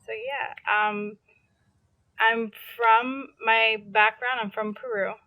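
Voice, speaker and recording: A woman's voice: fairly high pitch, steady tone, slow pace, dry recording, dull audio. A young adult woman from New City, chatting casually as a podcast guest and filling her talk with "like" and restarts. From New York City. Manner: chatty, many "like" fillers and restarts. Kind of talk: casual interview conversation.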